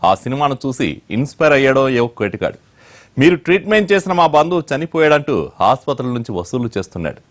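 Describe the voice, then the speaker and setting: A man narrates a news story in a dramatic, scripted style. His voice is mid-pitched and the delivery is very fast. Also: big pitch swings.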